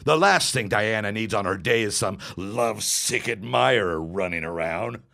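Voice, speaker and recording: An actor playing a smooth, scheming and threatening businessman in a 1916 period audio drama, with condescending, ornate phrasing. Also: on the low side, bright, forceful tone. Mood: scornful, threatening. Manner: condescending, deliberate, ornate wording.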